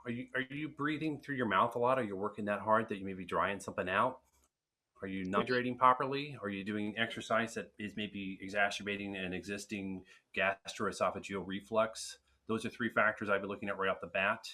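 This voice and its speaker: Man, frank advice-giver, webinar Q&A, forceful bright timbre. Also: fairly low pitch, fairly even intonation, fairly quick. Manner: frank, advice-giving, with restarts. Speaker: guest speech therapist answering questions. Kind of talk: casual webinar Q&A.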